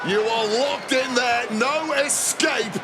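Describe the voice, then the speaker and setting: High-pitched man commentating dramatic wrestling action. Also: slow pace, loud music behind the voice. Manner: dramatic, emphatic, florid wording. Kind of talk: excited play-by-play and colour commentary. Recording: loud arena crowd and noise behind voice. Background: roaring crowd and impact slams.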